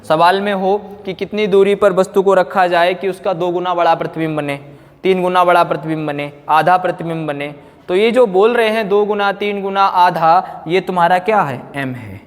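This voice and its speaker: A man's voice: high voice, moderate pace. A man teaching physics in a didactic way, often asking questions and answering them himself. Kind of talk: classroom lecture, explaining formulas. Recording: echoey hall-like reverb.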